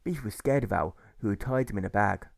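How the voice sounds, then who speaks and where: A man reading a rhyming fable as audiobook narration in a measured, deliberate storytelling manner. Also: lowish voice, creaky, no room sound, studio quality. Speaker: author reading his own audiobook.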